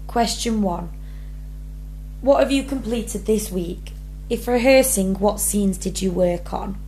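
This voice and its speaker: A woman's voice: medium pitch, slow pace, mellow, slight background noise, poor audio. A young woman drama student, talking earnestly through her rehearsal blog and reading each question aloud before answering. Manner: earnest, many ums, reads prompts then answers.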